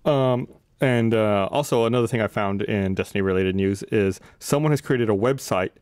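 Man, thirties, fairly low pitch, casual news chat, dry close studio mic. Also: moderate pace, darkish tone, smooth, dry recording. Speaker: podcast host. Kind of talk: casual gaming news discussion. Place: podcast studio. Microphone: desk studio microphone, close.

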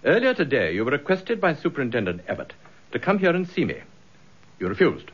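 An actor playing a stern, haughty senior British police commissioner in a radio drama, pompous, cold and imperious. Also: male voice, a little high, wildly swooping pitch, brisk, husky, exaggerated voice. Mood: stern, affronted. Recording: slightly dull radio drama recording.

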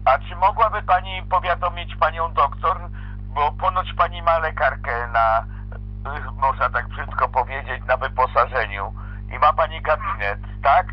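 A man's voice: on the high side, moderate pace, slightly rough. A middle-aged man improvising an amateur audio play as a hotel guest, speaking politely and formally. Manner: polite, courteous phrasing. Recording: muffled lo-fi playback with music behind.

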